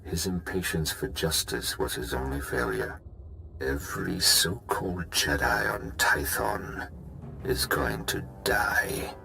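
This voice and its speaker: An actor playing a bitter, vengeful fallen Jedi master, speaking with cold menace in a game cutscene. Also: male voice, a bass voice, lively intonation, slow, vocal fry, pushed and bright, a little hiss or hum, heavily acted, poor audio. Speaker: villain in a video game cutscene. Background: game score music.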